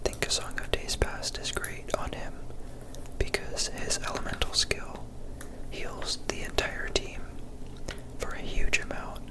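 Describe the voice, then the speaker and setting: A woman whispering casual, rambling ASMR commentary over gameplay in a soft, unvoiced voice. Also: a little slow, poor audio. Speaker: gameplay video creator.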